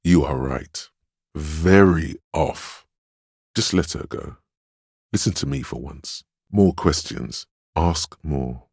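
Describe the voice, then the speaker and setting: An actor voicing a stern, steadfast inner voice of willpower in a video game, measured and dryly sardonic as he admonishes. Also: a bass voice, lively intonation, creaky, darkish tone, breathy edge, dry recording, clean studio sound, heavily acted. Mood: admonishing. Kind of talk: scripted second-person game narration, inner voice.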